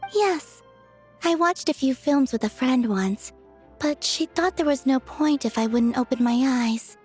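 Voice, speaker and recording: A woman voicing a gentle, dreamy young woman who speaks softly and with wonder. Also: high-pitched, unhurried, husky, soft background music. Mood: wistful. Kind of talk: video game voice acting.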